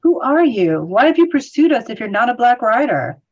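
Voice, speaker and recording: A woman's voice: on the high side, very animated, moderate pace, highs cut off. A woman playing a thoughtful, cautious young hobbit in a script table read, earnest and questioning. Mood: urgent, wary.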